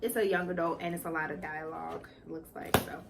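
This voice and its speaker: A woman's voice: sits low, steady tone, quick pace, creaky, background noise. A woman co-hosting a casual book chat in a playful, teasing manner. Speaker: co-host of a book channel.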